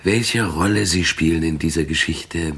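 A man playing an arrogant, shady nightclub owner with a rasping, congested voice in a 1970s German radio crime drama. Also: deep voice, moderate pace, fairly bright tone, exaggerated voice. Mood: dismissive, suspicious. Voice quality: rasping, as if with a cold.

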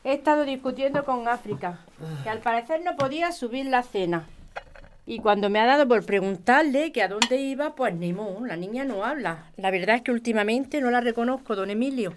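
A woman's voice: on the high side, fairly quick, slight background noise, close to an everyday voice. An actress playing a harried, exasperated working mother in an audio drama. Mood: weary, familiar.

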